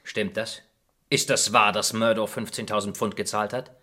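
Husky, flustered murder-mystery suspect. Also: male voice, on the low side, little pitch movement, normal speed, pushed and bright. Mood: shaken, apologetic. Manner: stammering, hesitant. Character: flustered, apologetic man suspect in crime mystery. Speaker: radio play actor. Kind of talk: German radio crime drama acting.

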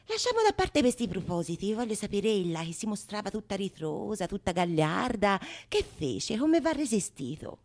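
A woman's voice: medium pitch, very animated, normal speed, hardly any pauses, a little mellow. A woman playing a curious, teasing female confidante who theatrically prods a storyteller in a radio theatre monologue. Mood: nosy curiosity. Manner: prodding, knowing, theatrical. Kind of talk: stage dialogue in radio theatre.

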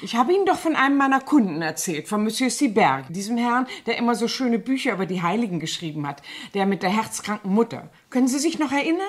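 A woman's voice: on the high side, very animated, quick pace, few pauses. An actress in a German radio drama playing a talkative, gullible French cleaning woman who relishes dramatic gossip. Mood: eager storytelling.

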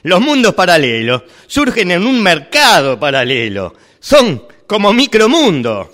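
A dry, matter-of-fact shopkeeper, played by a man. He lets his pitch rise and fall freely but takes his time. It is delivered as a calm, patient sales pitch. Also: measured pace, heavily acted. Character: weary male shopkeeper selling worlds. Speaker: workshop radio drama actor. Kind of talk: amateur radio drama rehearsal.

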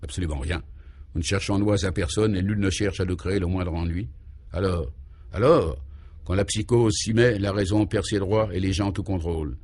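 A troubled male governor from a radio serial, played by a man, speaks in a lowish voice. He is solemn and anxious, halting as he ruminates and searches for his words. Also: a little mellow. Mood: brooding.

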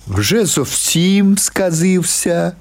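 A man voicing a frail, homely old village granny with a Ukrainian-tinged southern Russian dialect, speaking slowly and plainly in an audiobook story. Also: high-pitched, big pitch swings, pitched above the performer's own voice, full character voice. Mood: grumbling. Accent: Ukrainian-influenced southern Russian village dialect. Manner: slow, measured, plain everyday talk.

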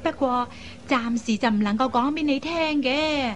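A woman acting a lively, headstrong young matchmaking sister in a radio drama, with a chatty, coaxing manner. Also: high-pitched, normal speed, a little hiss or hum, natural voice. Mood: playful, insistent. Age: young adult. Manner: coaxing, chatty, expressive with sighs and particles.

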